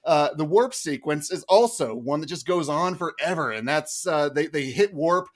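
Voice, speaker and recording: A man's voice: on the high side, expressive, moderate pace. A man arguing a humorous film critique as a mock prosecutor, in a mock-formal, exasperated way. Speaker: podcast panelist playing a mock prosecutor.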